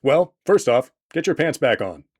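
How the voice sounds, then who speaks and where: A man's voice: mid-range voice, sing-song, moderate pace, slightly rough. A man reading scripted promotional narration as a game creator presenting an introduction, with a playful, tongue-in-cheek manner.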